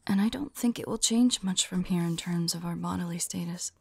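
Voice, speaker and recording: A woman playing a tender, devoted vampire lover in an intimate ASMR roleplay, speaking softly and affectionately, often in a whisper. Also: lowish voice. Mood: reflective. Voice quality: soft, breathy, often whispering. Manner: slow, wistful, affectionate.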